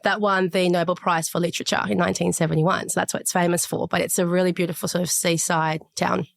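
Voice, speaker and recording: Low-voiced woman podcast guest. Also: little pitch movement, vocal fry. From Chile, raised in Australia. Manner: thoughtful, measured, with frequent fillers. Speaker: podcast guest, sales director. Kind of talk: conversational interview.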